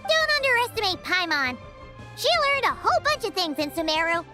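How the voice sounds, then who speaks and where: A woman voicing a small, childlike female fairy companion, chirpy, proud and excitable, in a video game. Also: very high-pitched, a little fast, music in the background. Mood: smug, indignant. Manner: refers to self in third person, indignant, chirpy. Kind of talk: video game cutscene acting.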